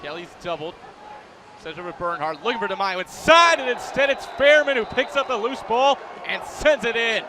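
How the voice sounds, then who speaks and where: A young American man calling a live lacrosse game as play-by-play announcer, with an energetic announcer's delivery. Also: very high voice, moderate pace. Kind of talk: live lacrosse sports commentary. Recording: live game, loud crowd noise behind. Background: crowd and outdoor stadium ambience.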